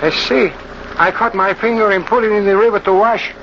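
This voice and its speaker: A high voice for a young native river hand, superstitious and fearful. He speaks solemn broken English in radio-play style. The old recording is muffled and very noisy. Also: steady tone, a little fast. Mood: fearful warning. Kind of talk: old-time radio drama acting.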